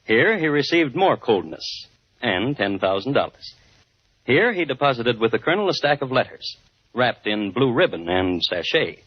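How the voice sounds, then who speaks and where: An actor playing a wry, dry crime-story host, narrating in 1950s radio style. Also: male voice, medium pitch, very animated, normal speed, slightly rough, no room sound. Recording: muffled old radio broadcast. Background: faint music.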